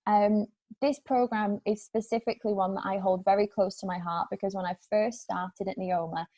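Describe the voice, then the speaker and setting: A woman's voice: mid-range voice, fairly even intonation, moderate pace, warm tone. A British woman, an admissions manager, presenting in an upbeat way during an online information session over a video call. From England.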